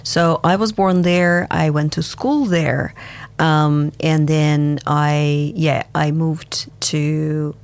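Guest: woman, deep voice, slow and thoughtful, reminiscing with many pauses. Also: fairly even intonation, creaky. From Kazakhstan and Russia. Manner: reflective, unhurried, with many fillers and restarts. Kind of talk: conversational interview answers.

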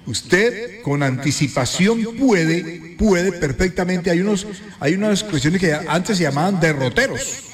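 A man's voice: high-pitched, expressive, unhurried. Man, radio host, chatty, playful, roomy studio sound. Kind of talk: morning radio chat.

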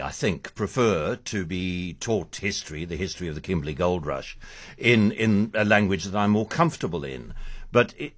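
Very deep husky male interviewer. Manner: halting, rephrases questions aloud. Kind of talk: interview questions.

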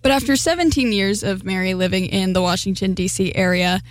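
A woman reporter voices a public radio feature in a smooth, measured read. Her pitch sits at the middle and the studio sound is dry and close. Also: no room sound. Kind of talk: scripted news feature narration.

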